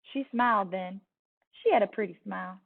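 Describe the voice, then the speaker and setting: Distant, creaky-voiced Southern woman reading aloud. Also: mid-range voice, normal speed. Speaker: teacher reading a picture book aloud. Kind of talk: expressive storybook read-aloud. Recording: distant, tinny phone-like sound.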